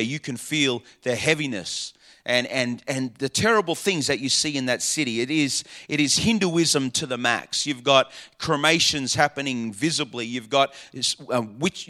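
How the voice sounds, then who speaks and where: Bright high-voiced fervent male preacher. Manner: fervent preaching with repeated phrases. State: slightly hoarse. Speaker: pastor. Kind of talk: sermon.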